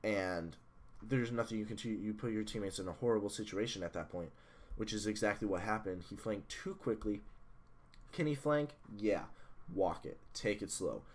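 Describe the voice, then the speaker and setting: A man reviewing a match replay as a coach, blunt and analytical, a little hoarse from losing his voice. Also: fairly low pitch, normal speed. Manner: analytical, blunt, swears at software glitches. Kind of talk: casual match review commentary.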